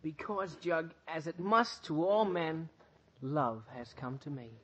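A man's voice: fairly high pitch, big pitch swings, moderate pace, very noisy. An actor playing an eager, lovestruck and melodramatic teenage boy in an old-time radio comedy. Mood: lovestruck, solemn. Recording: dull vintage radio recording.

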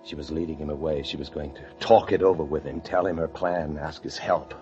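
An actor playing a paranoid fugitive husband, narrating an old-time radio thriller with intense dread. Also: male voice, extremely low pitch, steady tone, brisk. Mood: brooding dread. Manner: intense, breathless dread, swinging from brooding to frantic shouts. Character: desperate, paranoid fugitive husband confined in a locked room. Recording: dull old radio recording with music.

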